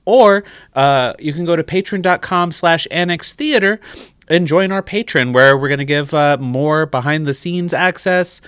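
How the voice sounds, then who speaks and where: Smooth-voiced man, casual show presenter. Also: on the high side, normal speed, a bit bright, no echo, studio quality. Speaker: theatre presenter. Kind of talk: casual closing announcement.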